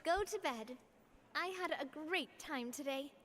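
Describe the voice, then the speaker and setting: A woman playing a cheerful, kindly young leader in a video game, sunny, polite and expressive. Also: high-pitched, unhurried. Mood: amused, friendly.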